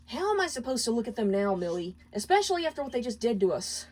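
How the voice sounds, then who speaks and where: A woman plays an imp husband at the end of his tether in a storybook drama. His voice is pushed up high, argumentative and pleading, full of exasperation. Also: very animated, quick pace, a little mellow. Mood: exasperated, defensive. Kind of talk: dramatic storybook character reading.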